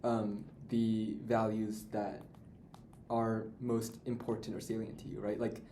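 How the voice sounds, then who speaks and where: A man's voice: on the low side, completely flat delivery, a little slow, warm tone. A young man co-hosting a student podcast, talking casually with many fillers and restarts. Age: young adult. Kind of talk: casual discussion. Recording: echoey room, faint noise.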